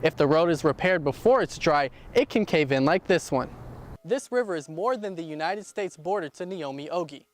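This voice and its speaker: A man's voice: on the high side, lively intonation, normal speed. A man working as a television news reporter, delivering scripted reports and stand-ups on location.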